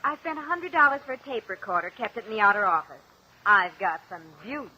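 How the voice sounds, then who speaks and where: An actress playing a coolly taunting secretary turned blackmailer, needling and mock-sweet, in a vintage radio crime drama. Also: female voice, mid-range voice, big pitch swings, quick pace, sharp tone, breathy edge, exaggerated voice. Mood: coolly insistent. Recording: dull, noisy old radio recording.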